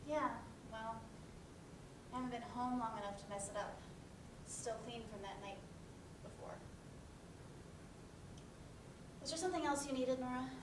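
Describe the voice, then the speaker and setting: A woman's voice: a little high, a little fast, pauses often, natural voice. A woman playing a grieving young woman in a play reading, weary, defensive and terse, with a sardonic edge. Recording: roomy, distant mic, noisy background.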